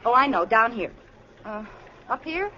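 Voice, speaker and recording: High-voiced scatterbrained 1940s radio wife. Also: female voice, very animated, moderate pace, pushed and bright. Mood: puzzled. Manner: naive, cheerfully oblivious, indignant when corrected. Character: scatterbrained, excitable wife learning to drive. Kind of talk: 1940s radio comedy acting. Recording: noisy old radio recording, dull, studio audience.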